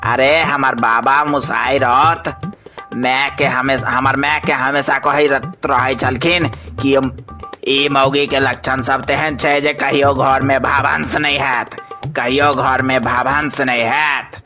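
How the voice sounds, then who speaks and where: A man's voice: medium pitch, little pitch movement, slow, sharp tone. A man with a Maithili accent voicing a pompous, easily exasperated scientist boss in a comic cartoon, boastful and scolding. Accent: Maithili, Mithila region. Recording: music bed under the voice.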